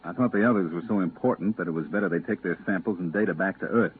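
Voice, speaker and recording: An actor playing a stern, weary moon-base pilot with a clipped, authoritative manner, in a 1950s radio drama. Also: male voice, low-pitched, quick pace, husky. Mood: matter-of-fact. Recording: tinny, hissy 1950s radio recording.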